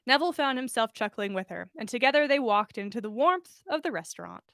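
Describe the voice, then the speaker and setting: A woman's voice: a little high, expressive, brisk, a bit bright, no echo, clean studio sound. A woman narrating a story expressively, as a podcast host reading fan fiction aloud.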